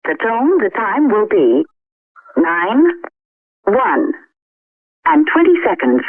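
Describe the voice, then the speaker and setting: A woman announcing the time as a recorded speaking clock, in a measured, formulaic delivery over a telephone line. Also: mid-range voice, huge pitch swings, dry recording. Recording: thin, phone-like time-signal tape.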